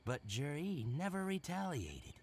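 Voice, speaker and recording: A man's voice: fairly high pitch, measured pace, slightly rough, full character voice. An actor playing a chatty, suspicious townsman in a video game, telling things expressively. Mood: gossipy. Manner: expressive storytelling. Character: gossipy, suspicious male townsman, chatty tradesman. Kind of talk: anime game voice acting.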